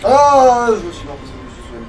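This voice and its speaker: High male voice, casual gaming commentary, exasperated swearing, distant mic. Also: big pitch swings, fast, over music. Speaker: let's player at the controls. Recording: distant mic, loud background noise.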